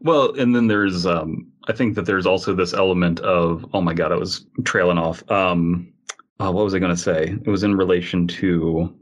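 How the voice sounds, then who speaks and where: A man's voice: deep voice, steady tone, normal speed, lo-fi sound. A man co-hosting a podcast, talking casually in a rambling, emphatic and opinionated way. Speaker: podcast co-host.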